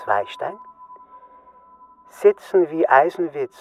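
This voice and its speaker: Enigmatic male poet, radio drama, extremely slow verse recitation, faint music underneath. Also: on the high side, very animated, full character voice.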